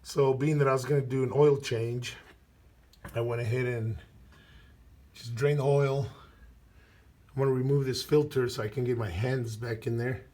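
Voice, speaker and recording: Hoarse man with a cold filming his garage work. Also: mid-range voice, steady tone, normal speed, long pauses, warm tone. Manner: rambling, filler-heavy, clears throat often. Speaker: DIY car mechanic vlogger. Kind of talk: casual workshop walkthrough. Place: indoor garage under a vehicle. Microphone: phone camera held while working.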